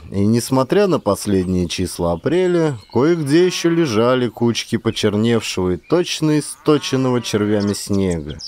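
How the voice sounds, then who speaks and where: A man narrating a literary story as a dramatized audiobook, with expressive, theatrical delivery. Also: fairly low pitch, slight background noise.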